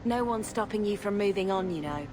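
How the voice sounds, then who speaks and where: A woman's voice: mid-range voice, lively intonation, brisk, natural voice. Defiant young mage played by a woman. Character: defiant young female mage, the hero's sister. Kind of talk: video game voice acting. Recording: music behind the voice.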